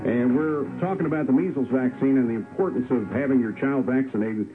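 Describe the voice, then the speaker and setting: A man's voice: medium pitch, a little fast. A man in his sixties hosting a call-in radio show in a friendly, conversational way. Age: 60s. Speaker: radio host. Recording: lo-fi radio broadcast with faint music.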